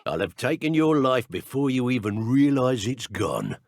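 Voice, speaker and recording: Gravelly British villain, greedy dark wizard, taunting, colloquial, dry close sound, video game lines. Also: male voice, medium pitch, very animated, normal speed, no room sound. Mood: menacing. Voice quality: gravelly, husky. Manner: taunting, rough colloquial speech. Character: menacing male dark wizard thug, gloating and greedy.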